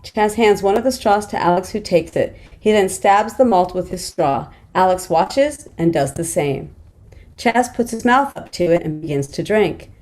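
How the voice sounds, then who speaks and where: A woman's voice: medium pitch, animated, normal speed, a little mellow. A woman narrating stage directions in a screenplay table read.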